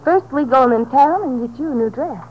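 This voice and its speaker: A tough young Texas bandit woman with a high voice and a Southern drawl. She talks in bossy, hard-boiled slang. The old radio recording is dull and faintly noisy. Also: expressive, fairly quick. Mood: scornful, scheming. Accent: Southern American. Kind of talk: 1950s radio drama acting.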